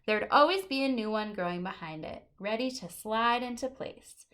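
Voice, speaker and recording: A woman's voice: a little high, moderate pace, a bit bright. A woman teacher reading a children's nonfiction picture book aloud, expressively stressing key words and adding short explanatory asides.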